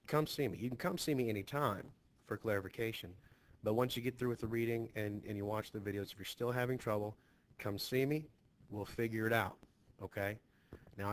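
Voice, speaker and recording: A man speaking as a teacher, giving an informal, conversational and reassuring introduction to a lesson. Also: lowish voice. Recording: noticeably hissy home screen recording.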